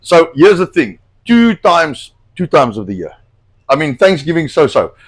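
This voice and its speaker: Middle-aged male host, slightly hoarse, blunt and profane, casual cooking chat. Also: fairly high pitch, very animated, moderate pace, a little hiss or hum. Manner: rambling, blunt, swears a lot. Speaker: host cooking on a live stream.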